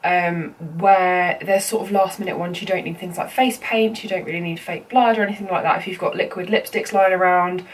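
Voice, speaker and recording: A woman's voice: on the low side, steady tone, brisk, few pauses. A young woman with a cold, sounding bunged up as she chats her way through a makeup tutorial with plenty of ums and you-knows. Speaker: makeup vlogger. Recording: slightly distant mic with faint room noise.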